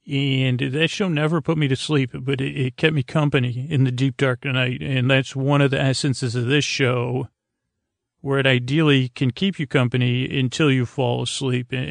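An American man with a creaky, gravelly voice hosting a bedtime podcast in a meandering, halting monologue that trails off and circles back. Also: medium pitch, dry recording. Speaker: host of a bedtime sleep podcast.

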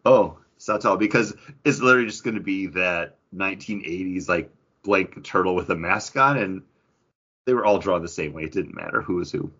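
A man's voice: low voice, moderate pace, fairly bright tone, slightly rough, highs cut off. A man co-hosting a podcast in casual geek-culture chat, rambling and interrupting himself with enthusiasm. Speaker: podcast co-host.

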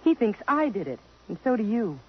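A woman's voice: fairly high pitch, big pitch swings, brisk, a little hiss or hum. An actress playing a poised, self-possessed young woman under suspicion in a 1950s radio drama, composed, direct and earnest. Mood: defensive. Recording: dull lo-fi 1950s radio recording.

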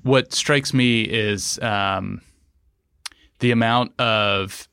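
Host, male, low smooth voice, dry close mic, thoughtful and hesitant. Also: fairly even intonation, very slow, pushed and bright, no room sound. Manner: thoughtful, hesitant phrasing with many fillers. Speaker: podcast host. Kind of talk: casual interview conversation.

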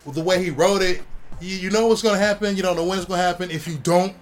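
A man's voice: high-pitched, fairly even intonation, fast. A man chatting casually about comics and movies, rambling with lots of slang and fillers. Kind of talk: casual comic book chat.

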